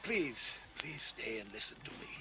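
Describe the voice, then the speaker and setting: An actor playing a weary, anxious old doctor in a radio drama, halting and pleading. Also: male voice, medium pitch, big pitch swings, vocal fry. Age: older. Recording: hissy, noisy radio drama recording.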